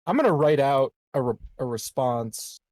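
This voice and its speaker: A man's voice: medium pitch, lively intonation, normal speed, a little mellow, dry recording. A man chatting casually as a player in a tabletop roleplaying game.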